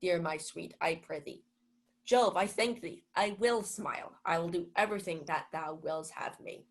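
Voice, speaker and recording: A woman's voice: on the low side, normal speed, lightly acted. Young woman playing a pompous, lovestruck steward. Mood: elated. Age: young adult. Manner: theatrical, self-important, musing aloud. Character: pompous, self-deluded male steward, gullible and lovestruck. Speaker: student actor. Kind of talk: Shakespeare comedy as 1940s-style radio play.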